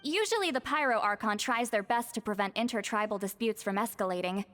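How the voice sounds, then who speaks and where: A woman voicing a composed, scholarly character in a video game, measured, thoughtful and gently firm. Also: medium pitch, faint music behind, close to an everyday voice.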